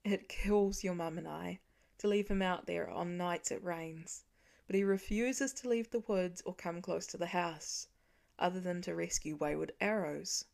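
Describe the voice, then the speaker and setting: A woman voicing a weary, protective father, earnestly and gently explaining things to his children in an audiobook. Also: fairly low pitch, unhurried, gravelly. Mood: subdued, sad. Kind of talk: acted audiobook dialogue.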